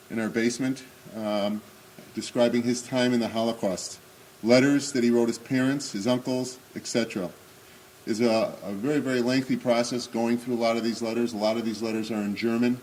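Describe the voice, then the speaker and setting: A man in his sixties with a booming voice, giving an unscripted talk at a remembrance service with many pauses and fillers, then reading memoir excerpts aloud. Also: a little mellow, slight background noise, low-quality recording. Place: synagogue hall. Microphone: speaking without a microphone.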